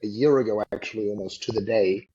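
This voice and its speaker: A man's voice: fairly low pitch, fast talker, dark tone, breathy edge, lo-fi sound. A man appearing as a podcast guest, matter-of-fact and insistent in casual chat.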